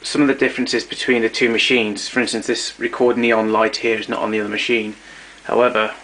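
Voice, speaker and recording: A man narrating a casual show-and-tell of his tape recorders, explaining step by step with pauses and fillers. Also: lowish voice, flat delivery, fairly bright tone, rough recording.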